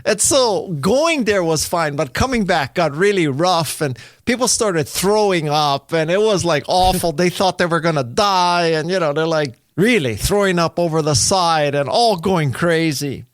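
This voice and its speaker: A man's voice: a little high, expressive, measured pace, hardly any pauses, no echo. A man in his sixties from Hawaii hosting a podcast interview, playful and teasing, laughing often. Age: 60s. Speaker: podcast host. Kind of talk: casual interview chat. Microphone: video call.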